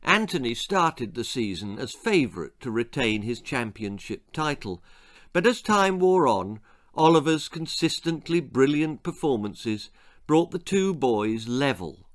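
An older man reading his own story for children as an expressive narrator. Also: medium pitch, measured pace. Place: small book-lined room indoors.

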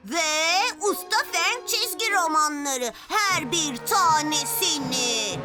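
Woman doing a bratty kid ninja villain, bragging a lot, really high squeaky voice that jumps all over, loud music behind. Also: expressive, slow. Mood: gloating. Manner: boastful, gloating. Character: cocky scheming boy ninja villain. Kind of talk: cartoon dubbing.

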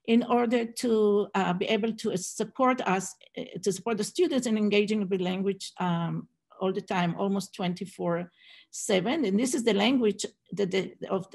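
A woman's voice: medium pitch, creaky, darkish tone, slightly rough. A middle-aged woman giving an academic conference talk over a video call, hesitant with many fillers and restarts. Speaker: Hebrew program director presenting at a conference. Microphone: video call webcam.

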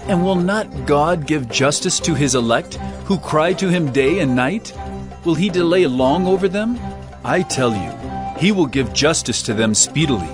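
A man's voice: a little high, expressive, normal speed, lightly acted. An actor playing an earnest, solemn male teacher of parables in a dramatized audio Bible, speaking emphatically. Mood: stern, urgent. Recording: loud background music.

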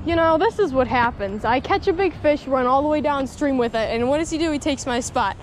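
A woman in her twenties giving excited live commentary while fishing at a creek, gushing with exclamations, recorded on an action camera outdoors. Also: sits high, fairly quick. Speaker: fishing vlogger. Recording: outdoor camera mic with water noise. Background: flowing water.

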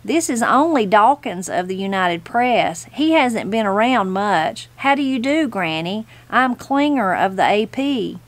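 A woman as a brisk, courteous young newsman. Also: medium pitch, animated. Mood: brisk, curious. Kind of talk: audiobook storytelling character voice.

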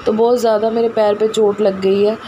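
A woman in her thirties with a cold and a hoarse voice, chatting casually through a home kitchen vlog, filmed on a phone. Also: mid-range voice, little pitch movement, brisk, warm tone, dull audio. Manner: rambling, chatty, lots of fillers. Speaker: vlogger and housewife. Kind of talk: casual daily vlog chat. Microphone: phone camera, selfie then propped up across the room. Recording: noisy phone recording, pump motor running.

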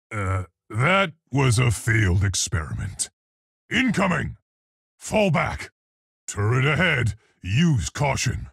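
An actor playing a big, earnest, awkward scientist gorilla in a video game, with a gravelly, husky voice and deliberate, scholarly phrasing. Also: male voice, lowish voice, no room sound, clean studio sound, heavily acted. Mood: urgent, commanding. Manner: deliberate, precise, scholarly, hesitant. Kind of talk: video game character voice lines.

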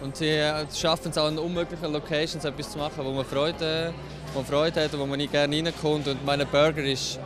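A man's voice: fairly high pitch, level tone, brisk, music in the background. A man in his thirties with a Swiss German accent, a restaurant owner speaking confidently into a handheld mic at a busy venue. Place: crowded indoor venue. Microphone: handheld reporter mic.

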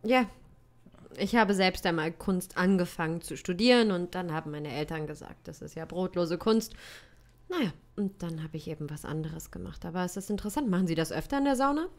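A woman's voice: fairly low pitch, animated, a little fast. A woman in her thirties playing a chatty, conversational woman in an improvised audio drama. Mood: curious, friendly. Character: chatty woman in a sauna recounting an encounter. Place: small home studio, indoors. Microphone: close studio condenser mic.

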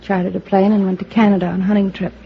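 A woman's voice: mid-range voice, little pitch movement, racing, gravelly, lightly acted. An actress playing a bitter, heartbroken, hard-drinking woman in old-time American radio drama. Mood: bitter, hurt. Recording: dull, noisy old radio transcription.

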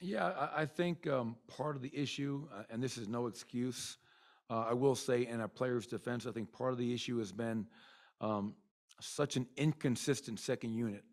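Middle-aged male coach, postgame presser, candid and rambling, mid-pitched voice, desk mic in an arena press room. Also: moderate pace. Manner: candid, rambling with many fillers. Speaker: basketball head coach. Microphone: desk mic in front.

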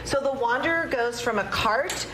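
A woman's voice: on the high side, background noise. Bubbly woman demoing products on TV. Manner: bubbly, quick, keeps asking "right?". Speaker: home improvement expert guest. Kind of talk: enthusiastic product demo on morning TV. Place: TV studio set.

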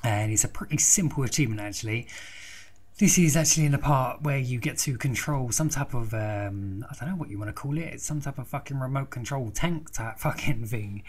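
A young man with a croaky throat giving a rambling, foul-mouthed walkthrough of a war game. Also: medium pitch, a little fast. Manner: swears constantly, rambling and chatty. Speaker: gaming video host. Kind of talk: casual profane game walkthrough commentary.

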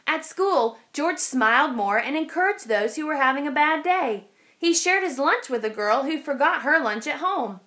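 High, animated woman reading a storybook. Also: normal speed. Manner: expressive, clearly phrased for children. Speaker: teacher reading aloud. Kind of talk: children's storybook read-aloud. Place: indoors, over an open picture book.

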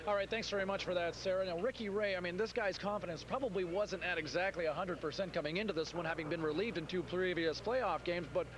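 A young man hosting a postgame sports wrap-up with brisk, scripted lead-ins, holding a handheld mic in a stadium. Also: sits high. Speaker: sports TV host. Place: empty stadium set. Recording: broadcast audio with background noise.

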